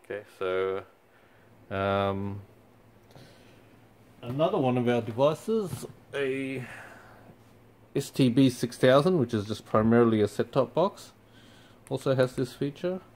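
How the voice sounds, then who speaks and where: A man's voice: medium pitch, takes it slowly, pauses often. Man explaining a tech how-to. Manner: relaxed, many fillers. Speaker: company product presenter. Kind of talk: casual how-to walkthrough. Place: indoors in front of a TV screen.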